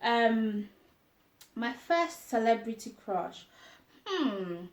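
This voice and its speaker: Young Nigerian woman vlogger, mid-pitched, chatty, lots of ums and giggles; roomy reverb. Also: fairly bright tone. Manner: chatty, laughs often, frequent ums. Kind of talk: casual chat answering tag questions.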